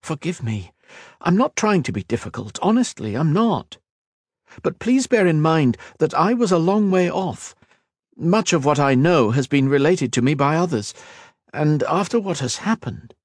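A man voicing a gentle, calm elf woman in a fantasy audiobook, speaking softly in formal, deliberate phrases. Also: on the high side, no room sound, studio quality, voice pushed up. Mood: apologetic. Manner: soft-spoken, deliberate, formal.

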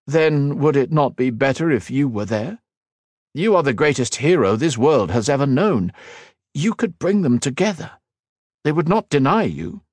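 A man's voice: mid-range voice, very animated, darkish tone, no room sound, voice pushed up. A man voicing Liniel, a formal and thoughtful male elf noble in a fantasy audiobook. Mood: earnest. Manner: formal, measured.

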